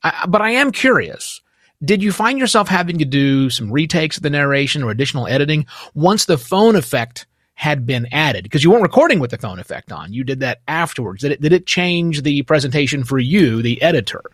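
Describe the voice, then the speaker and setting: A man's voice: fairly high pitch, sing-song, a little fast, fairly bright tone, dry recording, clean studio sound. A man hosting a podcast interview, giving conversational commentary and asking technical questions. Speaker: podcast host.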